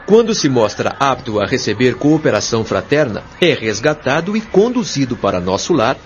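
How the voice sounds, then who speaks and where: Man acting as solemn, expressive Brazilian spirit mentor. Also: mid-range voice, normal speed, slight background noise, lightly acted. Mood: calm, authoritative. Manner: didactic, articulate, solemn. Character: patient, authoritative male spirit guide and mentor. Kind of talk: audio drama acting, expository dialogue. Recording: dull lo-fi audio over music.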